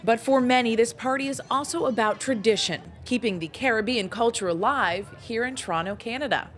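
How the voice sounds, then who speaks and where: A woman reading a scripted voice-over narration in a bright, upbeat way. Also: fairly high pitch, brisk, very noisy. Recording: voice-over over loud music.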